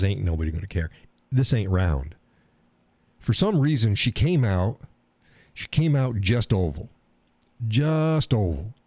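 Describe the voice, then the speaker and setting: A man's voice: deep voice, darkish tone, no echo. A man with a stuffy nose, hosting a casual live stream, rambling in a folksy way and reading chat messages aloud. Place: home workshop room.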